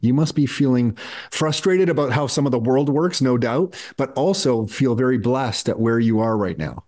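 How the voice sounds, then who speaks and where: A man's voice: medium pitch, normal speed, a little mellow. A man hosting a podcast in casual interview chat, asking curious, eager questions. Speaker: podcast host.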